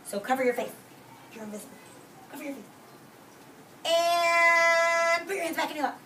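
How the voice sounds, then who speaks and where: Lady teacher reading a storybook to little kids, expressive and instructive, roomy sound from far off. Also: very high voice, normal speed. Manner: expressive, instructive, explains words simply and directs the children. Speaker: preschool teacher. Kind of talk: animated read-aloud to a class of young children. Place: classroom with young children. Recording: roomy, distant microphone, faint noise.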